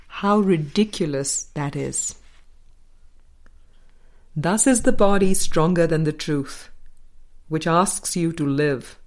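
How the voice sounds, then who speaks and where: A woman narrating a spiritual book aloud in a measured, deliberate way, pausing between phrases. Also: on the low side, a little mellow. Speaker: audiobook narrator.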